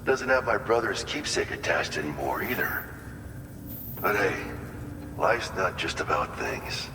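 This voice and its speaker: A man's voice: low voice, animated, a little slow, echoey room, long reverb tail, noisy background. An actor playing an earnest, wistful male colonist in a video game, talking in a sincere, conversational and folksy way. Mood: wistful, tender. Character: earnest, wistful male colonist grieving his lost brother. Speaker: game character briefing the player. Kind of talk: cinematic video game dialogue.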